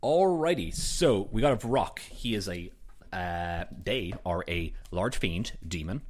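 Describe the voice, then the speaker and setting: A man from abroad now living in the US, co-hosting a casual podcast and reading out game stats with quick, animated asides. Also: low-pitched. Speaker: podcast co-host.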